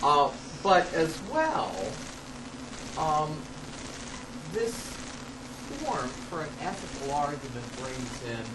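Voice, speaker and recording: A man reading an academic paper aloud to a class as a lecturer, halting at times and stressing key phrases. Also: medium pitch. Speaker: college ethics lecturer and paper author. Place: classroom in front of students. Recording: noisy amateur classroom recording.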